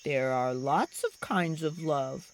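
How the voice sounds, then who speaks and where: A woman voicing a calm, friendly male ape neighbor in a storybook, thoughtful and drawn-out. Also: low-pitched, a little hiss or hum, pitched below the performer's own voice. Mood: reassuring.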